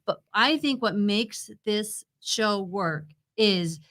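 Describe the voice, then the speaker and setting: Bright-voiced woman co-hosting a talk show. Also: mid-range voice, expressive, slow pace. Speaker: co-host of a TV review show. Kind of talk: casual banter and TV commentary.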